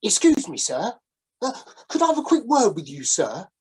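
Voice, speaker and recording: A woman's voice: medium pitch, huge pitch swings, moderate pace, dark tone, slightly rough, pitched above the performer's own voice, exaggerated voice. A woman voicing a shabby, down-on-his-luck male ex-sailor who coaxes and wheedles. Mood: wheedling.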